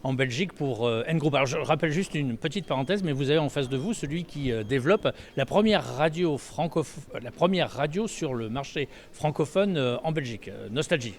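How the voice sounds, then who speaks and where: A man moderating a conference panel, lively and prompting, dropping in frequent backchannels. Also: fairly high pitch, brisk, hardly any pauses.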